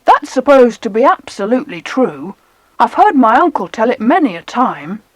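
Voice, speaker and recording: Keen British kid on an island adventure, very high, lively, well-spoken, for an audiobook play. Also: female voice, normal speed, no echo. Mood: eager storytelling. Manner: eager, expressive storytelling. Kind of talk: dramatized children's audiobook acting.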